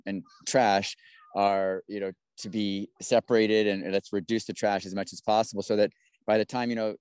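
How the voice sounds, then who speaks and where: Man hosting, smooth low voice. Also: darkish tone, no echo. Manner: rambling, encouraging, many restarts. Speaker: webinar host. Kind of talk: casual hosting on a video call. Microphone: video call.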